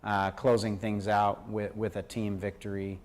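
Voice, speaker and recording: A man, a golf coach, talking in an interview with hesitant pauses and fillers. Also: fairly low pitch, flat delivery, a little mellow.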